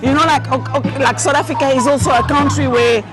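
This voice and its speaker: A woman's voice: high voice, fairly even intonation, fairly quick. Woman at a noisy event. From Belgium, Brussels. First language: French. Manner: many restarts and repeated words, chatty. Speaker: chamber of commerce representative, guest. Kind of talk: casual interview at an event. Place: busy outdoor food event. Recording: loud crowd and music behind.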